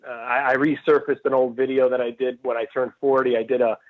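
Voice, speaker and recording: A comedian in his forties from Cleveland, telling rambling, animated stories in a casual interview over a remote call. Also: mid-range voice, fast. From Cleveland, Ohio. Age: 40s. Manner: rambling, animated storyteller who jumps between asides. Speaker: stand-up comedian guest. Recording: tinny phone-like call audio.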